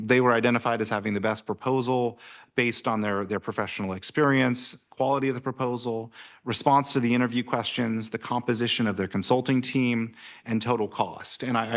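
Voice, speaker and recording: A man from city staff presenting a report at a council meeting, halting with many fillers and restarts. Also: mid-range voice, a bit bright.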